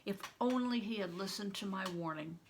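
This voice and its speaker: An older woman reading a novel aloud as a storyteller for children, with deliberate, dramatic phrasing and stretched emphasis. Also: medium pitch, noisy background. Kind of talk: expressive read-aloud storytelling.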